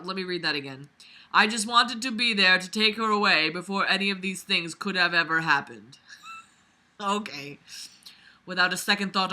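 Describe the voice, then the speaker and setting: A woman's voice: on the low side, pushed and bright. A young adult woman reading fiction aloud with weary, exasperated, sarcastic commentary and plenty of swearing. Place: small bedroom, lying on a bed.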